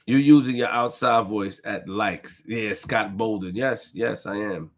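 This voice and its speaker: A man hosting a casual livestream, shouting out viewers, reading donations and repeating phrases to his chat. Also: on the low side, a little slow. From Haitian family roots. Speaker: livestream host.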